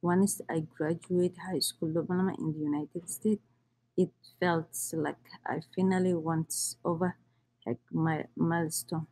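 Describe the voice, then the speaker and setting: A woman, reading her own life story in halting English. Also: low-pitched, fairly even intonation, mellow, low-quality recording. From Somalia. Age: 30s. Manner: halting, with restarts and non-native grammar. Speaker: immigrant storyteller.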